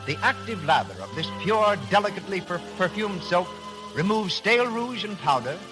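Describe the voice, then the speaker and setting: A man's voice: sits high, rough recording. A man announcing in a polished, declamatory 1930s radio style on an old broadcast recording.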